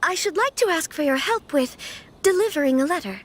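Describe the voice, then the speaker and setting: A woman's voice: extremely high pitch, animated, fairly quick, fairly bright tone. A woman playing a refined, resolute young noblewoman in a video game, speaking formally and with composure. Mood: earnest, pleading. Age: young adult.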